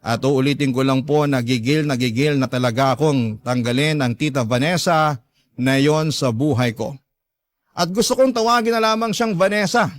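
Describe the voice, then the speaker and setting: A man's voice: fairly high pitch, dry recording. A man with a Filipino accent narrating a first-person story as a radio host, in an earnest, confiding and polite way.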